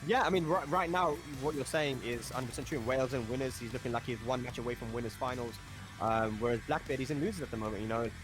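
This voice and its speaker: A man calls a fighting-game tournament live, talking quickly and easily. He sounds chatty and enthusiastic. Faint game music plays underneath. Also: medium pitch. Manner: chatty, enthusiastic, laughs often. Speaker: esports commentator.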